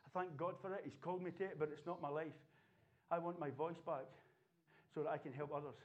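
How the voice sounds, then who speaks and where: A fervent male pastor, his voice sitting high for a man and climbing into near-shouts as he exhorts the congregation. He preaches with passion and repetition in a church setting. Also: steady tone, fairly quick, dull audio. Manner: impassioned preaching, rising to shouts. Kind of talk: sermon.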